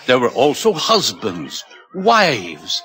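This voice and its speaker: An actor playing a grand, condescending world ruler in 1950s radio drama, with oratorical emphasis and dramatic pauses. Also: male voice, medium pitch, wildly swooping pitch, fairly bright tone, exaggerated voice. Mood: dramatic lecturing.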